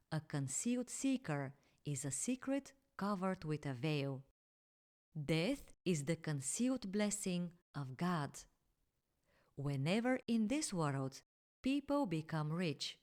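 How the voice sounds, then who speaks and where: A woman reading spiritual teachings aloud in a measured, solemn way, dropping low on each "my friend.". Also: a little mellow. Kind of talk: slow recited spiritual address.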